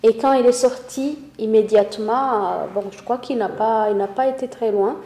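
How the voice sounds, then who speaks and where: A woman in her fifties from Rwanda, giving her testimony in a halting way, pausing often to search for words. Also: a little high, warm tone, reverberant. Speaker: interviewee, witness. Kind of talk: spoken testimony.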